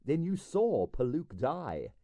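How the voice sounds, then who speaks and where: A man's voice: fairly high pitch, wildly swooping pitch, takes it slowly, mellow, dry recording, pitched above the performer's own voice, full character voice. A man playing an earnest young police detective in a storybook, asking questions firmly and politely. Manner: firm, questioning.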